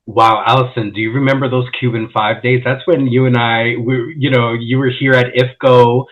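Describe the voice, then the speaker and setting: Male podcast host, friendly and eager, mid pitch, slightly muffled sound. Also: flat delivery, moderate pace. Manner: enthusiastic, friendly. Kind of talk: casual interview. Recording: slightly dull lo-fi audio.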